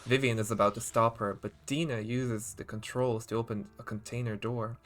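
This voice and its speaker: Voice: mid-range voice, steady tone, normal speed. A game streamer giving casual live playthrough commentary and reading some text aloud, with game music behind.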